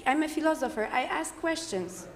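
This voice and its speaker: A woman from the audience, speaking English as a philosopher at a conference, direct and insistent, often pausing with fillers. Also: high voice, lively intonation, big-room sound. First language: Polish. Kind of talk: conference floor remarks. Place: conference hall.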